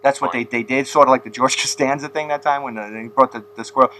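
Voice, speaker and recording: A man's voice: medium pitch, steady tone, fast talker, pushed and bright, a little hiss or hum. A man hosting a casual, rambling interview, telling stories and laughing at his own jokes. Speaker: podcast host.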